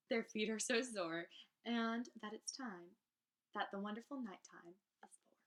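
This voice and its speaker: A woman's voice: mid-range voice, a bit bright. A woman reading rhyming children's verse aloud in a theatrical, emphatic teacher's manner, drawing out key phrases.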